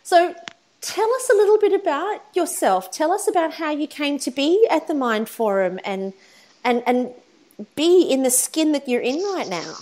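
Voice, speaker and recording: A woman co-hosting a casual podcast chat, her voice croaky and hoarse, playful and laughing. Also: high voice, animated, normal speed, darkish tone. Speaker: podcast co-host.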